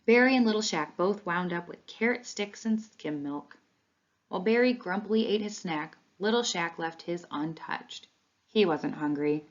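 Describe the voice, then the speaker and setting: A woman's voice: lowish voice, normal speed. Woman reading a children's storybook, muffled recording. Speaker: read-along reader. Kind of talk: expressive children's storybook read-aloud. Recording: dull, lo-fi sound.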